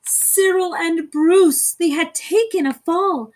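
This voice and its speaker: Slow-paced woman reading a rhyming storybook. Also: very high voice, darkish tone. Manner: theatrical, deliberate phrasing. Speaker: storybook reader. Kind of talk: expressive rhyming children's story narration.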